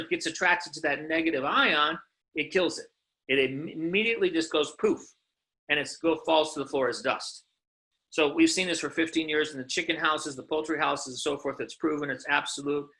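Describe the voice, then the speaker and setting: Bright, forceful man giving an emphatic sales pitch. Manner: emphatic, insistent, punctuated with rhetorical questions. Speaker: company presenter pitching products. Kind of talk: informal sales presentation.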